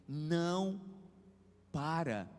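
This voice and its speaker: Male pastor, emphatic repeated refrains, raised pitch, echoing hall. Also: expressive, extremely slow, a little mellow. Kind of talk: sermon. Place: church hall. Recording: reverberant church hall.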